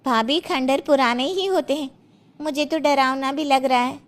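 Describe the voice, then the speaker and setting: A woman's voice: high-pitched, normal speed, pitched above the performer's own voice, close to an everyday voice. A woman voicing a timid, worried young village sister-in-law in a cartoon story, anxious and plaintive. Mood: fearful.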